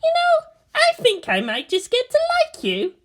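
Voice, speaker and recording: A proud teenage girl, put on by a woman narrator, pitched way up and full of swing. She is cocky and defiant, a smirking hotshot pilot from an anime-style story. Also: huge pitch swings, moderate pace, heavily acted. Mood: cocky, amused.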